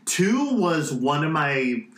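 Bright-voiced man in an echoey room. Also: a little high, big pitch swings, slow. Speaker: podcast co-host. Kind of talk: casual chat.

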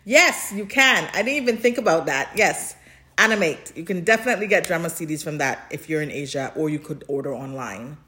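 Low-pitched woman, casual podcast co-host. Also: expressive, fairly quick, sharp tone. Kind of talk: casual chat.

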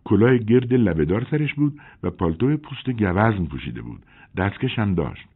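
A man voicing a chatty, excitable young woman in an audiobook, with a husky tone on tinny phone-like audio. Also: on the low side, pitched above the performer's own voice. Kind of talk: audiobook character voice.

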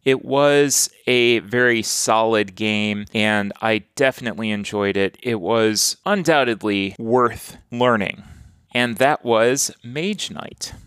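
A man's voice: on the low side, slow, a bit bright, clear, resonant tone, no room sound, studio quality. A man talking through a board game review in a plain, earnest, matter-of-fact way. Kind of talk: casual solo review.

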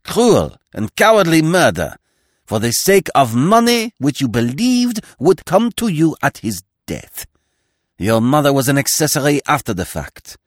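A man plays a self-important French magistrate in an audiobook, sneering and quick to anger. His theatrical, declamatory speech swings widely in pitch, heard in a dry recording. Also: huge pitch swings, no room sound, exaggerated voice. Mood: grandiose, condemning. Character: pompous, angry, sneering male French examining magistrate. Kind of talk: audiobook character acting.

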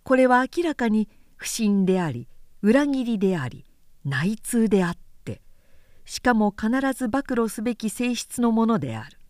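Female audiobook narrator, measured and articulate, very dry studio sound. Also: a little high, very animated, darkish tone, no room sound. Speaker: narrator reading literature aloud. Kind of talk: scripted literary narration, audiobook reading.

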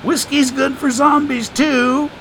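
A man voicing a folksy, wisecracking homeless man who drawls with rough grammar and dry sarcasm. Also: very high voice, flat delivery, takes it slowly, fairly bright tone, slight background noise, voice pushed up, exaggerated voice. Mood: teasing.

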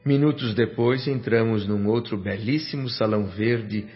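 A man's voice: on the low side, lightly acted. A man as a reverent radio drama narrator over music. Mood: reflective. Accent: Brazilian. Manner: measured, reverent, literary. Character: reflective male doctor narrating his afterlife memories. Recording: dull lo-fi sound over music.

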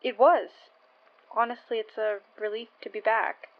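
A woman's voice: fairly high pitch, steady tone, moderate pace, narrow, tinny audio, close to an everyday voice. A woman playing a seasoned, friendly field investigator with a casual, matter-of-fact manner, in a naturalistic audio drama.

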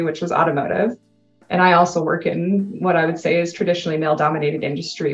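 A woman's voice: fairly low pitch, echoey room, lo-fi sound. A Canadian woman in her thirties, a guest in an interview, talking casually and laughing often. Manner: upbeat, laughs often, chatty.

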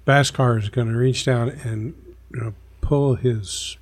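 A man playing a tabletop game who describes his character in a low, conspiratorial way. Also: fairly even intonation, measured pace. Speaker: player.

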